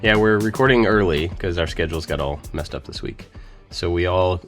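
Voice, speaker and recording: A man hosting a podcast, just out of bed with his voice lower than usual, joking and laughing easily with his co-hosts. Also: fairly quick, fairly bright tone, soft background music. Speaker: podcast host. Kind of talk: casual chat.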